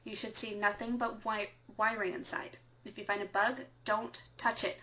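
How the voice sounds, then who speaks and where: A woman playing a measured, matter-of-fact resistance co-host in an audio drama styled as a scripted radio broadcast. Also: medium pitch, level tone, pushed and bright, dull audio, close to an everyday voice. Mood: instructive. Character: matter-of-fact female resistance co-host, mild but testy.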